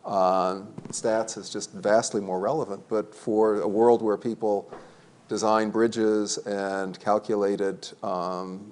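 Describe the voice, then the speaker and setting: Low-voiced male panel moderator. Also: gravelly, a little mellow. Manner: conversational, self-deprecating, polls the audience. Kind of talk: panel discussion. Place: event hall before an audience.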